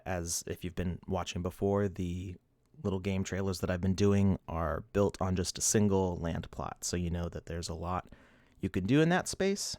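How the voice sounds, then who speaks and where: A man in his thirties hosts a casual livestream from his desk, chatting loosely and laughing as he reads the chat. His voice sits low, and he is a bit sniffly. The sound is close and dry, with no room around it. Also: a little mellow, dry recording. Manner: rambling, laughs often, restarts, reads chat. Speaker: livestream host.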